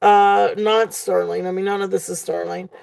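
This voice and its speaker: Woman, live sale host, mellow gravelly voice going hoarse, chatty with plenty of fillers. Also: medium pitch, little pitch movement, moderate pace, poor audio. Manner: chatty, fillers, reads comments aloud. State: losing her voice. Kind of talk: live auction selling chat.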